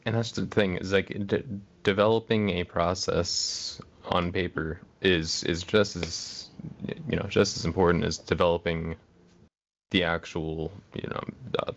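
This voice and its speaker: Super deep gravelly guy on a muffled call, flat and halting. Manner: halting, self-deprecating, trails off. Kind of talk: casual video call chat.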